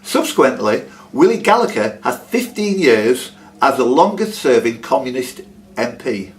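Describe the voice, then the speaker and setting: A man's voice: medium pitch, very animated, normal speed. A man hosting a quiz, reading questions aloud and teasing the players with jokey casual banter.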